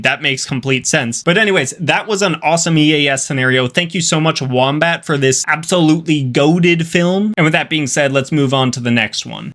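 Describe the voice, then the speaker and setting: A young man hosting a reaction video with casual, slangy commentary and drawn-out emphasis. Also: on the high side, fairly bright tone.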